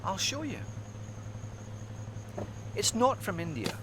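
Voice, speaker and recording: A man playing an urbane, eager scientist in a video game, speaking formally and theatrically with clear articulation. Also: high-pitched, sing-song, takes it slowly, noisy background, exaggerated voice. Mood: persuasive. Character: urbane, eager scientist doctor recruiting an adventurer. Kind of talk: dramatic video game cutscene acting.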